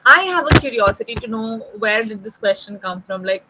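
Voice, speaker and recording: A woman's voice: fairly high pitch, lively intonation, a little fast, lo-fi sound, background noise. A young woman from Delhi with a Delhi Indian English accent, tutoring spoken English in a conversational online lesson and often checking in with "right?".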